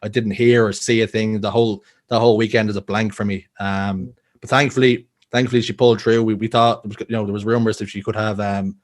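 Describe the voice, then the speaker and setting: A man's voice: on the low side, flat delivery, fairly quick. A young Irish man with a Dublin accent, a guest telling personal stories earnestly with frequent restarts. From Ireland. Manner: earnest storytelling, many restarts. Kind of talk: casual podcast interview.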